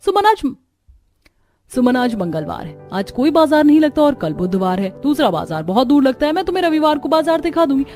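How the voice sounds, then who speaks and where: A woman's voice: high voice, sing-song, fairly quick, a little mellow, faint music behind. Woman as a resentful, lazy young daughter-in-law. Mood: dismissive. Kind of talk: cartoon moral story dialogue.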